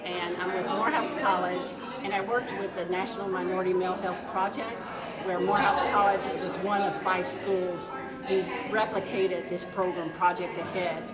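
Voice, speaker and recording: A woman's voice: on the low side, flat delivery, moderate pace, over a noisy crowd. A woman in her thirties from a program staff, introducing herself and her work. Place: large busy conference hall. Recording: distant voice in echoey crowded hall.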